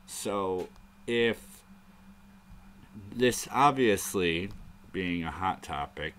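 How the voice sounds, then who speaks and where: Slow, low-voiced man rambling. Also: fairly bright tone, slight background noise. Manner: rambling, thinking aloud, occasional swearing. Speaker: show host. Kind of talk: casual live-stream debate chat.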